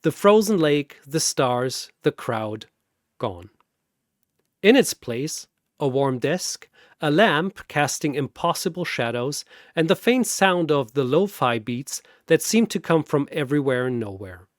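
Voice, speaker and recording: A man narrating a scripted battle story in a theatrical, deliberate way, like a dramatic commentator. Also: fairly high pitch, lively intonation, no room sound, clean studio sound.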